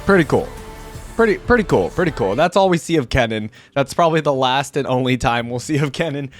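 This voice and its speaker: Animated man giving casual gaming commentary. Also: medium pitch, a little fast, faint music behind. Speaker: reaction video host.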